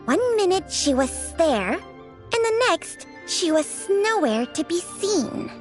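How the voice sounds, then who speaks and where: A woman's voice: very high-pitched, big pitch swings, slow pace, music in the background, full character voice. A woman voicing an earnest, innocent young girl in a video game, childlike and slightly hesitant.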